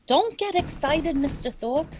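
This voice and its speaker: A noisy radio play recording of a woman playing a practical, take-charge character. Her voice is high and swings widely in pitch, with plain, folksy phrasing. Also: lively intonation, a little slow. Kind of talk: radio drama acting.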